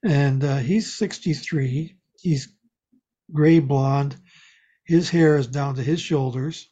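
Older guy, husky n mellow, chatting and wandering thru stories, lofi sound. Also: mid-range voice, slow. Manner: meandering storytelling, self-correcting. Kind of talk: casual chat. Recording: dull lo-fi audio.

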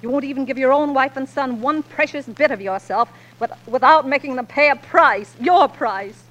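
A long-suffering but iron-willed frontier wife in a 1940s radio drama. Her voice sits high, and she speaks with emotional, reproachful emphasis. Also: normal speed, fairly bright tone, low-quality recording. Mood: bitter, pleading. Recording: old 1940s radio recording.

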